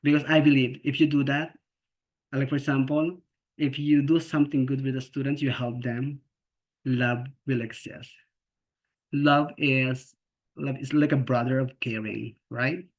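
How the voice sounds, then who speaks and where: A man's voice: mid-range voice, flat delivery, measured pace. A man from the Philippines with a Filipino accent, a special education teacher giving an earnest, informal talk on a video call, often checking in with "okay?" and "right?". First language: not English. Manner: earnest, repeats and restarts words, asks "okay?" and "right?" often. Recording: slightly dull lo-fi video call audio.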